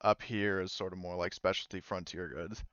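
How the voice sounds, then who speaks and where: Casting: male game master, smooth voice, halting casual narration, swears freely, slightly dull mic. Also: low voice, steady tone, fairly bright tone, no room sound.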